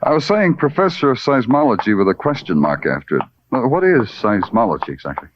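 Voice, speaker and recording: An actor playing a hard-boiled American police detective, sardonic and terse, in 1950s radio crime drama style. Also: male voice, mid-range voice, very animated, fairly quick. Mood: sheepish, polite. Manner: sardonic, terse, florid noir phrasing. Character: wry, hard-boiled big-city police detective narrating his case. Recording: muffled old radio recording with music.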